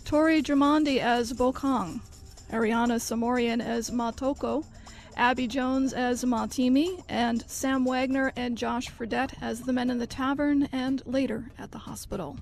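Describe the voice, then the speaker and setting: A woman's voice: fairly high pitch, fairly even intonation, a little slow, vocal fry, darkish tone, soft background music. A woman reading scripted credits and introductions as a radio announcer.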